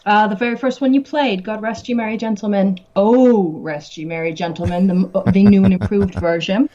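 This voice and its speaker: A woman's voice: mid-range voice, fairly even intonation, normal speed, mellow. Chatty woman guest laughing a lot, kinda muffled lo-fi sound. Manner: chatty, laughs often, many restarts. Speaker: guest, musician and actress. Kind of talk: casual interview chat.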